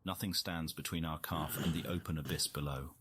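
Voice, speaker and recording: A man playing a grave wildlife documentary narrator, reading a script in a measured, solemn way. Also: a bass voice, fairly even intonation, a bit bright, slightly rough, heavily acted. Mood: measured, suspenseful.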